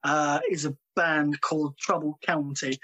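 A man's voice: fairly high pitch, flat delivery, measured pace, sharp tone. Hesitant man chatting about bands. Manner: hesitant, full of ums, laughs easily. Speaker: drummer and interview guest. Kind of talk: casual interview chat.

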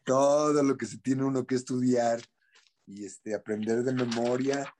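A man's voice: medium pitch, steady tone, unhurried, darkish tone, a little hiss or hum. A middle-aged Mexican actor in a casual interview, speaking candidly with slang and repeating words as he searches for what to say.

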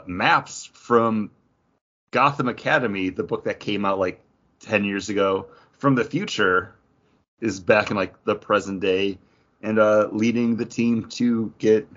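A man's voice: on the low side, fairly bright tone, dull audio. A man casually discussing books as a podcast co-host. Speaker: comic book podcast co-host.

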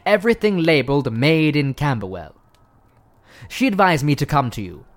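An actor playing a grandiloquent, earnest English Prime Minister in a comic radio play, self-dramatising and declamatory. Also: male voice, on the high side, very animated, moderate pace. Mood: earnest, confidential.

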